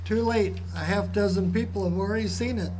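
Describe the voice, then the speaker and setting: An actor playing a weak, volatile, bullying small-town sheriff in a radio drama, agitated and blustering. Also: male voice, high-pitched, brisk, soft background music, natural voice. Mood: agitated, suspicious. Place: live amphitheater stage performance. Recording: noisy live stage recording. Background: clear ambient noise.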